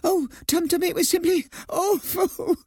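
A man's voice: extremely high pitch, big pitch swings, measured pace, vocal fry, warm tone, no room sound, studio quality, pitched above the performer's own voice, heavily acted. A man voicing a prim, anxious little female mouse housewife in a storybook, nervous, pleading and indignant. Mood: sobbing, upset.

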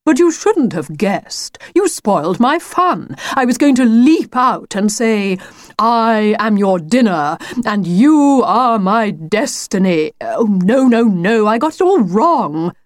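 A woman's voice: medium pitch, animated, slow pace, no room sound, studio quality. A woman voicing an effusive, fussy male talking wolf in a children's story, grandiose and chummy. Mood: theatrical, teasing. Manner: grandiose, fussy, old-fashioned endearments. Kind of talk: children's storybook character reading.

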